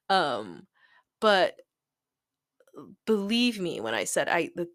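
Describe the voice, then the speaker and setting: A woman's voice: fairly low pitch, big pitch swings, drawn-out words, no echo, studio quality. A woman chatting casually and digressively over a hobby craft video, self-deprecating and giggly, often voicing her own thoughts as she goes. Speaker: hobby planner video creator.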